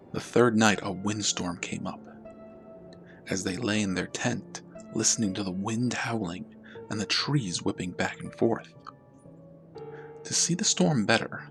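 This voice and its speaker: A man with a fairly low voice reads a scary story. He narrates in a measured, dramatic storytelling manner. Music plays behind his voice. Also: vocal fry. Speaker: storyteller, channel host. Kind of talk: scripted horror story narration.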